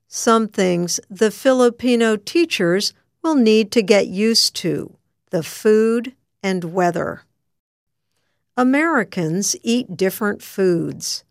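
Slow, careful female newsreader. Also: mid-range voice, no echo. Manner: slow, careful, clear delivery. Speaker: news presenter. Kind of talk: slow scripted news reading. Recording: dry studio sound.

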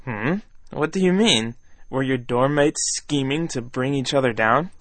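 A man's voice: medium pitch, unhurried, a bit bright, no echo. Male co-host: easygoing, curious, mid-range voice. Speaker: radio co-host. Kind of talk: scripted radio chat.

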